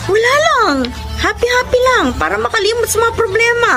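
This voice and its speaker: A woman playing a lovelorn, feisty young office worker in an emotional Filipino radio drama, with theatrical, expressive delivery. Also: very high voice, a little fast. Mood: defiant, irritated. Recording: loud music bed behind voice.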